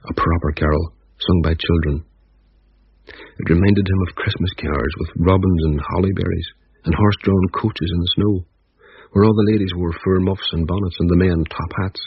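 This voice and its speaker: A man narrating a ghost story in a measured, gently wry reading. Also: low voice, husky, no room sound, dull audio.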